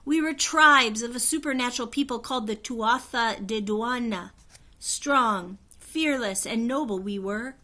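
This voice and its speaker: A woman voicing a regal fairy queen in a children's storybook, proud and solemn, with a high, clear, stately voice. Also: measured pace, bright, forceful tone. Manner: stately, declamatory. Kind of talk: children's storybook read-aloud.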